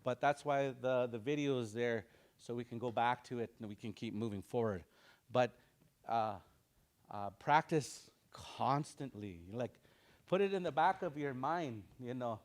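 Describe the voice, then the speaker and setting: A man teaching an online language class, drilling words over and over in a relaxed, informal way and chuckling often. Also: medium pitch, unhurried.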